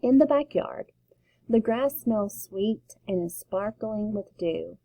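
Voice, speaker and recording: Slow, mellow woman reading a storybook. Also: mid-range voice, lively intonation, dry recording, rough recording. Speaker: teacher reading aloud. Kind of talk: children's read-aloud.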